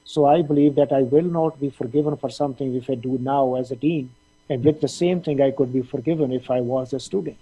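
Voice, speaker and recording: A middle-aged man from Punjab, India, a dean giving measured interview answers with frequent fillers. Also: medium pitch, steady tone, dark tone, slightly rough, low-quality recording. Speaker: dean of a veterinary faculty.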